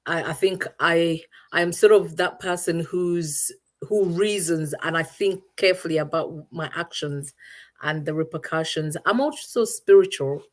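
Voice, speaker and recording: A woman from Uganda with a lowish voice speaks as an interview guest. She answers in a reflective, searching way, often restarting her sentences as she thinks. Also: moderate pace. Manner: thoughtful, searching for words with many restarts.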